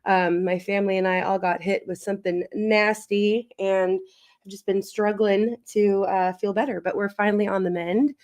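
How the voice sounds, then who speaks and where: A woman hosting a livestream in casual chat, her voice raspy and a little wheezy as she recovers from illness. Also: medium pitch, level tone, normal speed, darkish tone. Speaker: livestream host.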